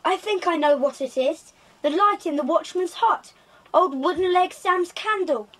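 Eager, good-natured British boy for children's drama, extremely high voice. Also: measured pace, a bit bright. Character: eager English schoolboy adventurer, chatty and good-natured. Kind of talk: children's audio drama acting.

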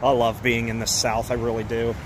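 A man's voice: mid-range voice, monotone, brisk. A man walking around an empty parking lot, chatting casually as he films on a phone. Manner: chatty, amused, frequent laughs and asides. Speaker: urban exploration vlogger. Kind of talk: casual walk-and-talk narration. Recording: phone video outdoors with traffic noise. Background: traffic and outdoor ambience.

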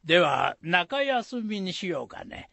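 An actor playing an elderly village elder in a radio folktale, husky and gravelly, admonishing in deliberate rustic phrasing. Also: male voice, sits high, sing-song, a bit bright, no echo, heavily acted. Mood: genial. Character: elderly male village elder, instructive and folksy. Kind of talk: radio drama acting.

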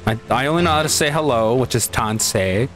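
A Canadian man with a Canadian accent giving casual, excitable let's-play commentary, swearing and laughing often. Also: mid-range voice, fairly quick, music in the background. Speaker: gaming channel host.

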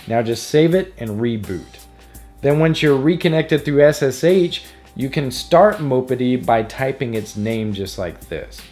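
A man's voice: medium pitch, expressive, measured pace, a little mellow. A man narrating a scripted electronics tutorial in a playful, jokey manner. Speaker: host of an electronics DIY tutorial. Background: background music.

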